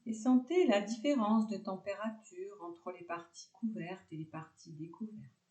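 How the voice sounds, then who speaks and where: A woman teaching yoga nidra, guiding a relaxation meditation calmly in short, separated phrases. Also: medium pitch, measured pace, roomy sound.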